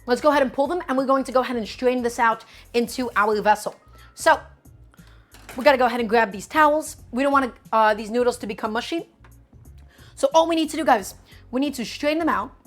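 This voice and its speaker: A young woman hosts a live cooking stream with a fairly high voice. She chats easily with her viewers, keeps calling them "guys" and whips up their excitement. Soft music plays quietly behind her. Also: brisk. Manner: upbeat, hypes up the audience.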